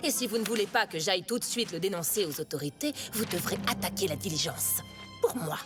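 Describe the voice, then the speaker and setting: A smug cartoon noblewoman with villainous intent, played by a woman. She is haughty and mocking, stretching her words with very animated intonation over background music. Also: mid-range voice, natural voice. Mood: mocking, threatening. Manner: haughty, mocking, drawn-out emphasis. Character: smug, blackmailing aristocratic lady villain. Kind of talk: animated series dubbing.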